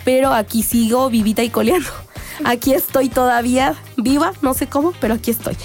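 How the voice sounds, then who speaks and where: A teenage girl, co-hosting a school radio show in casual chat, slightly hoarse and joking around. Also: high voice, a little fast, a little mellow, faint music behind. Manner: playful, jokey. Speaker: student radio co-host.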